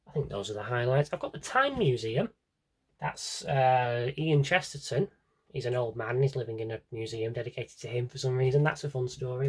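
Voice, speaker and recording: A man with a slightly husky voice rambles casually to viewers as a collector, thinking aloud and interrupting himself in a wry way. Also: medium pitch. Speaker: collector showing his audio drama CDs. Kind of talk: casual rambling vlog chat.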